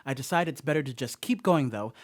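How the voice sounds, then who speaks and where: An actor playing a rattled, rambling stoner dog sitter in a radio play monologue, telling a story conversationally with wry asides. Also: male voice, mid-range voice, animated, fast talker, close to an everyday voice. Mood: rambling, uneasy.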